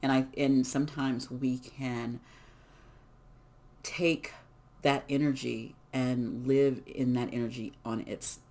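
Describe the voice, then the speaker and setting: A card reader giving a casual collective reading, hoarse from losing their voice, talking in an emphatic, encouraging way with many fillers. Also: mid-range voice, little pitch movement, takes it slowly, a little mellow. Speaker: tarot and oracle card reader.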